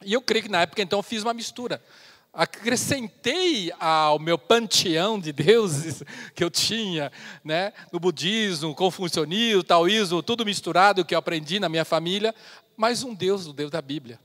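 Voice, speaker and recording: A man in his fifties from China, giving an earnest personal testimony as a missionary speaker in a church, with pauses and restarts. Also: sits high, a bit bright. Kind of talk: testimony sermon. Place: church sanctuary. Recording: long church hall reverb.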